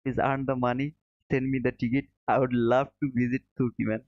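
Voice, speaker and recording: A man's voice: medium pitch, flat delivery, brisk, no echo, lo-fi sound. A man from Bangladesh hosting a trading livestream, reading viewer comments aloud and answering in a friendly way, calling people brother and chuckling often. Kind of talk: casual live chat reading viewer comments.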